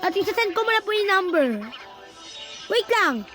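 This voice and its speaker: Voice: very high voice, animated, normal speed, pushed and bright. An exclamatory, slangy voice acting out an improvised horror skit as a series of phone calls, with music behind.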